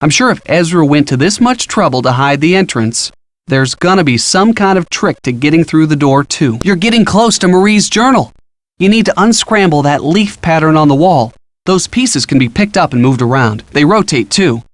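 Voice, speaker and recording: A man plays an eager young male sidekick from a detective video game. His voice sits fairly high, and he gives earnest, encouraging hints, clearly scripted, in a dry, close recording. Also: no room sound, natural voice. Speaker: hint-giving game character.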